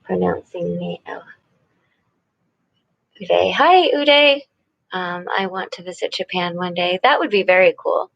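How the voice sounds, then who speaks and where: A woman with a lowish, gravelly voice chats softly with her viewers while she paints on a live stream. She talks in a halting way with plenty of fillers and often sinks into a whisper. The stream audio is dull and lo-fi.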